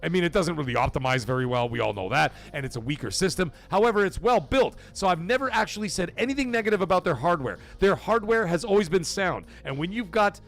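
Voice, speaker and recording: A man hosting a gaming livestream, reading super chats aloud and giving emphatic, profane opinions, swinging loud for donation shout-outs. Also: high-pitched, lively intonation, fast, few pauses, soft background music. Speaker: livestream host.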